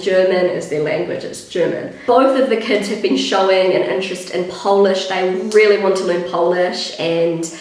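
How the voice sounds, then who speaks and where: Chatty New Zealand woman vlogger, thirties, croaky fry, a bit breathless, recorded in a very echoey room. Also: mid-range voice. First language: English. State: a little out of breath. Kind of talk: casual vlog talk to camera.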